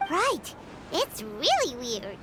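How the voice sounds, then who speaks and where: A woman's voice: high-pitched, huge pitch swings, takes it slowly, full character voice. A woman voicing a tiny, eager childlike companion in a video game, with a chirpy cartoonish delivery. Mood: puzzled. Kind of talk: scripted video game dialogue. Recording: clear music behind the voice.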